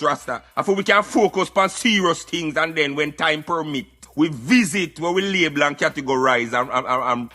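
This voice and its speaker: Man voicing a high, bright-voiced opinionated Jamaican Rasta. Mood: reasoning. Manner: emphatic, opinionated, repeats words. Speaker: radio show commentator. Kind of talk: satirical social commentary in patois.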